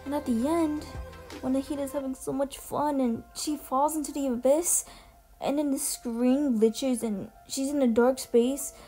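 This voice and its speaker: A woman with a high, slightly mellow voice talks in casual video commentary over clearly audible music. She is emphatic and gushing, presenting a ranking like a fan and swearing freely. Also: steady tone, measured pace, rough recording. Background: music under the voice.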